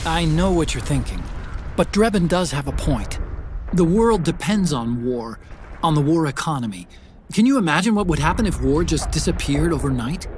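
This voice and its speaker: Brainy game sidekick: lighter, higher pitch, earnest briefing delivery, clear war noise behind. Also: male voice, sing-song, moderate pace, darkish tone. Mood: earnest explaining. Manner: explanatory, articulate, earnest. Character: earnest, brainy male tech-support ally briefing a soldier. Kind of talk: video game briefing dialogue.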